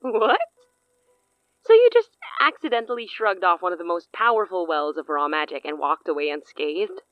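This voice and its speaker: A woman's voice: on the low side, sing-song, normal speed, no echo, phone-quality sound. A woman playing a smug, menacing sorceress in an audio drama, theatrical and taunting with amused condescension. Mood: taunting amusement.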